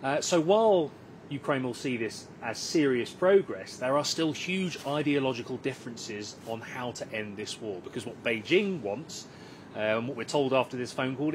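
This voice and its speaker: Male correspondent over rumbling background noise. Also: animated, moderate pace. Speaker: news correspondent. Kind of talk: live news report, explanatory.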